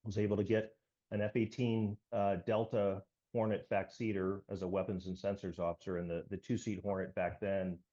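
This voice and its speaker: Deep, mellow American man, slightly muffled. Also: level tone, normal speed. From United States. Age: 50s. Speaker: podcast guest, military veteran. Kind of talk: conversational interview, recounting. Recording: slightly dull, lo-fi audio.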